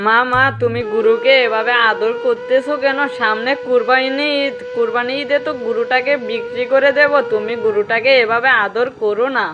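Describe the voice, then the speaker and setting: A woman's voice: fairly high pitch, fairly even intonation, moderate pace, lightly acted. A woman playing a practical, money-minded cattle farmer and father in a cartoon, talking in an insistent, explanatory way. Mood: firm, explaining. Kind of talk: cartoon dialogue. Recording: dull lo-fi track under loud music.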